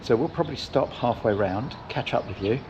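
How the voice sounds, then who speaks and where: A man's voice: medium pitch, lively intonation, brisk, dull audio. A man co-hosting a casual product review vlog, chatting in an easygoing way and laughing often while out on a bike ride. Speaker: vlog co-host. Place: outdoors on a hillside on a bike ride. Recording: outdoor noise behind.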